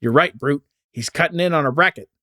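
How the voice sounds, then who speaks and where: A man's voice: fairly high pitch, brisk, sharp tone, dry recording, studio quality, pitched above the performer's own voice. A man voicing a tough, slangy henchman in a comic-book gang. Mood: scheming.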